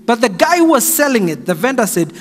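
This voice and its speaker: A middle-aged Kenyan man preaching a slow, emphatic sermon from a pulpit stand mic in a large church hall. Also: very high-pitched, sing-song. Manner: slow and emphatic, raising his voice for stress. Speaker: pastor. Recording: long hall reverb, faint music.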